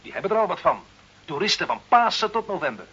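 A man's voice: high voice, big pitch swings, fast, gravelly, pushed and bright, slightly rough, exaggerated voice. A man acting as a cynical, grumbling poor bricklayer in a radio play, sardonic and colloquial. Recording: dull old radio recording, faint hiss.

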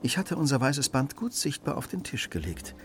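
An actor playing a cool, commanding Victorian gentleman detective in a radio crime drama, speaking in a crisp, self-assured way. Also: medium pitch, very animated, normal speed, a little hiss or hum. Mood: calm, calculating.

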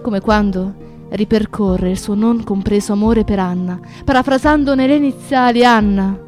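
An actress as a woman comrade telling her story in radio theatre, mid-pitched and declamatory. Her delivery is incantatory, both tender and accusing, with faint music behind it. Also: normal speed, a little mellow, natural voice. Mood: nostalgic, fervent. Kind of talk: dramatic radio theatre narration.

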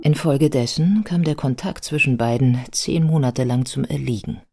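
A man narrating a scripted biographical radio play in a measured, factual manner. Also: mid-range voice, vocal fry, over music.

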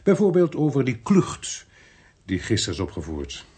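A dogged police inspector in a Dutch radio drama. The voice is husky, with animated rises and falls. He sounds suspicious and deliberate, making every point firmly. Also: medium pitch, full character voice. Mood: accusing, firm. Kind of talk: Dutch radio play acting with first-person narration.

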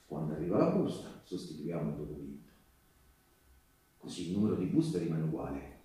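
A male actor playing an earnest partisan and postal worker, telling his wartime story in a halting, conversational stage monologue. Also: low voice, warm tone, a little hiss or hum. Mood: conspiratorial. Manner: conversational, halting, with rhetorical tags and repetitions. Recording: echoey live theatre, distant microphone.

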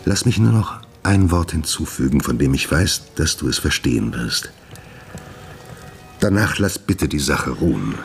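An actor playing a troubled, secretive gentleman scientist in a German radio play, urbane, condescending and evasive. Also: extremely low pitch, lively intonation, measured pace, soft background music, exaggerated voice. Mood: earnest, subdued.

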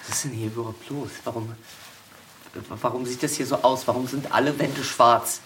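An actor playing an uneasy, reluctant male aid worker in a naturalistic radio play, halting and repeating himself. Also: mid-range voice, steady tone, a bit bright, slight background noise, lightly acted. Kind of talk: naturalistic German radio drama dialogue.

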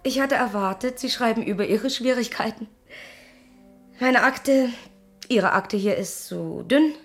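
An actress playing a skeptical, officious female office adviser in a German radio play, questioning in a measured, probing way. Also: fairly high pitch, faint music behind.

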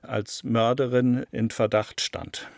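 A man giving analytical interview answers as an expert, deliberate and explanatory, stressing key words. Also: mid-range voice, little pitch movement, creaky, no room sound, clean studio sound. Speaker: interviewed expert on a criminal case.

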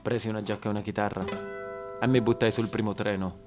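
A man's voice: fairly low pitch, little pitch movement, fast, music in the background. A man performing an emphatic, persuasive theatrical monologue. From southern Italy. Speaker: stage actor performing a monologue.

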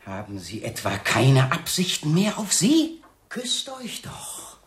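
Husky, menacing, mocking jealous husband. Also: male voice, a little high, huge pitch swings, slow pace, faint music behind, exaggerated voice. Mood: jealous taunting. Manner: taunting, theatrical, dropping into hissed whispers. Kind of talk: 1970s German radio play acting.

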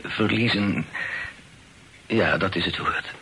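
An actor in a period radio play, voicing a humble, talkative old poet who is effusive, hesitant and deferential. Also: male voice, low-pitched, takes it slowly, vocal fry, sharp tone, full character voice. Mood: sorrowful. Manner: effusive, hesitant, sighing, repeats himself. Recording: noisy old radio drama recording.

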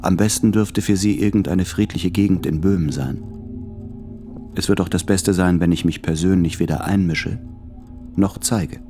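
Tormented self-doubting lover, low-pitched, brooding, measured, literary radio play, music underneath. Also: male voice, dark tone. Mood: detached, brooding. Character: anguished, self-doubting man of thirty-seven, a letter-writing lover.

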